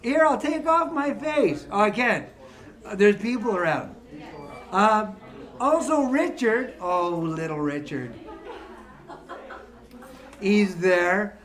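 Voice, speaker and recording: Man, 50s, from Ontario, playful teasing banter, slow unhurried talk, high voice. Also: expressive, fairly bright tone. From Ontario, Canada. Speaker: musician entertaining seniors. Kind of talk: joking banter between songs.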